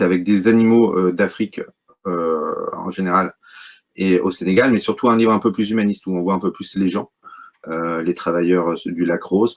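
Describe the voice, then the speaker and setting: Low-voiced hesitant French man. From Brittany, France. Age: middle-aged. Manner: hesitant, many fillers and restarts. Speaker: wildlife photographer, guest. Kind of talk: casual interview talk.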